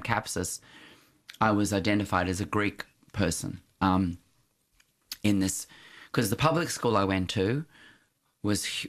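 A middle-aged man, an interview guest, recalling his school days in a reflective, storytelling way, with frequent restarts and soft laughs. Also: on the low side.

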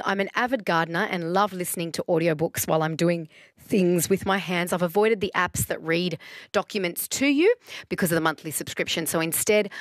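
A woman's voice: fairly low pitch, dry recording. Chatty woman radio host. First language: English. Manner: chatty, animated, reads out listener texts. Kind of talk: casual radio chat.

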